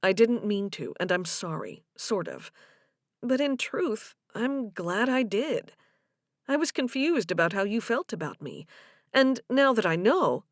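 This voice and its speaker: A woman voicing an earnest, gentle man in love in audiobook dialogue, with a sincere, measured delivery. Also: mid-range voice, sing-song, dry recording, clean studio sound. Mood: confessing.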